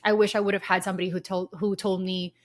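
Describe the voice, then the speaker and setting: A woman's voice: medium pitch, little pitch movement, quick pace. A woman in her thirties hosting her own podcast, talking casually and candidly with rambling asides, sounding congested from a lingering cold. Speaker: podcast host. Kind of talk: casual solo podcast talk.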